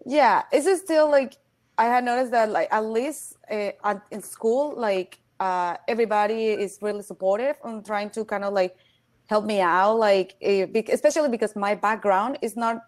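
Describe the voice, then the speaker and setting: A woman's voice: medium pitch, normal speed. A young woman graduate student on a panel who talks hesitantly and says "like" often. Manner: hesitant, leans heavily on "like". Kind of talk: casual panel talk. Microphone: video call.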